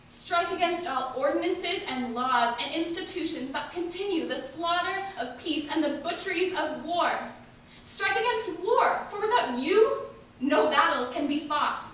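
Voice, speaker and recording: A woman's voice: sits high, a bit bright. A young woman reading an impassioned political speech in a declamatory, emphatic manner. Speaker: reader of a historical speech. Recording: echoey hall from far off, faint noise.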